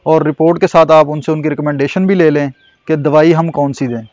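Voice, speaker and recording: A man in his thirties with a Pakistani Urdu accent speaks in a measured, informative way, pausing on fillers as he explains. His voice sits fairly high for a man. The sound is close and dry, with little room around it. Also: steady tone, a little mellow, dry recording. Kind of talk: explanatory on-camera interview. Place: outdoors at a covered goat shed.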